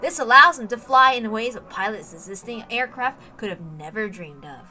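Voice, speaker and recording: A woman's voice: on the high side, lively intonation, normal speed, sharp tone, faint music behind. A woman reading a story aloud as dramatic scripted narration, with emphatic, theatrical delivery. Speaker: reader of a visual novel.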